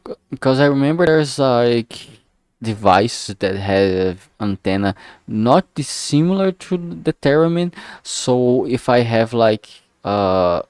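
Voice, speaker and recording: A man's voice: fairly low pitch, a little mellow, no echo, clean studio sound. A man chatting casually on a livestream, thinking aloud in a hesitant, musing way and often trailing off mid-thought. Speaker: streamer making a visual novel.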